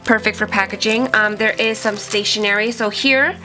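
A woman's voice: medium pitch, fairly even intonation, moderate pace, sharp tone, faint music behind, low-quality recording. A young woman with a stuffy, nasal voice from a cold, chatting casually as she unboxes and reviews small items. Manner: chatty, thinking aloud with fillers. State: sick and nasal. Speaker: unboxing vlogger. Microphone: off-camera voice over hands-only tabletop shots.

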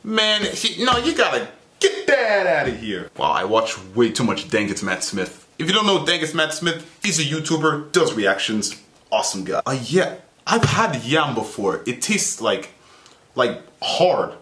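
A young man reacting to a video from his small bedroom, excitable and playful, with teasing asides into the webcam. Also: on the high side, measured pace. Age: young adult. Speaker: reaction vlogger. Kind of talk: casual reaction commentary. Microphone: webcam at desk, earphones in. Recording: echoey room.

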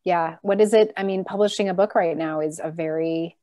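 A woman's voice: lowish voice, steady tone, a little fast, dark tone. A woman moderating a virtual book event over a video call, reading audience questions aloud and chatting casually. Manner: reads questions out plainly, then chats casually.

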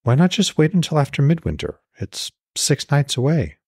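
A man's voice: on the high side, big pitch swings, moderate pace, husky, no room sound, clean studio sound, pitched above the performer's own voice, exaggerated voice. A man voicing a concerned, frank goddess wife, questioning and pointed, in an audiobook.

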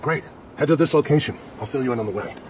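An actor playing a dogged, businesslike veteran police detective near retirement in a video game. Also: male voice, medium pitch, fast talker, close to an everyday voice. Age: older. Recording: distant voice under loud city noise.

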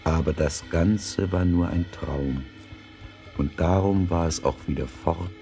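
A man narrating a fairy tale from a script as a storyteller, with a gravelly, husky voice. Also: low voice, a little slow, dark tone, faint music behind.

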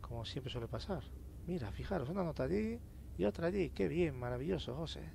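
Man, Castilian Spanish accent, creaky voice, informal game commentator, noisy game ambience. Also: a little high, lively intonation, fairly quick, dark tone. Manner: informal, sweary, grumbling to himself. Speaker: let's play host. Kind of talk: casual gameplay commentary, reads in-game notes aloud.

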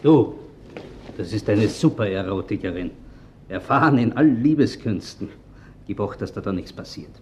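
An actor playing a casual, teasing police officer in a radio crime drama, with a dry manner. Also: male voice, fairly low pitch, animated, normal speed, a little mellow, muffled recording, a little hiss or hum, close to an everyday voice. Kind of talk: German-language radio crime drama acting.

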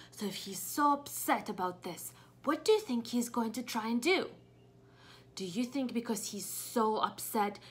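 A young woman teaching preschoolers through a picture-book read-aloud, asking questions expressively and pausing for answers. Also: on the high side. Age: young adult. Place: small home room indoors.

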